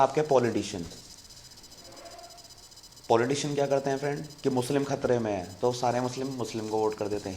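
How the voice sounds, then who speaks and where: A man's voice: mid-range voice, darkish tone, slight background noise. Casual talking man, faint crickets behind. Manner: conversational, keeps saying "friend" to viewers. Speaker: YouTube channel host. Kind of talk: casual explainer monologue.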